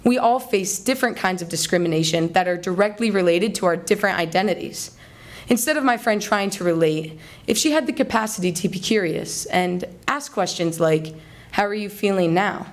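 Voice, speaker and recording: A young American woman delivering a rehearsed persuasive speech to an audience, in an earnest and deliberate rhetorical manner. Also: lowish voice, lively intonation. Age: young adult. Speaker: student speech contest finalist. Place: college hall, before a live audience.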